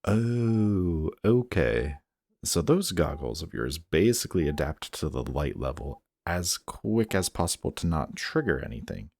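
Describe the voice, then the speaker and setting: A man's voice: a bass voice, sing-song, measured pace, a little mellow, full character voice. A man acting a sarcastic, guarded villain in an audio roleplay, with a snarky, casual delivery that grudgingly shows care. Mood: curious, teasing. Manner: snarky, dry, casual teasing.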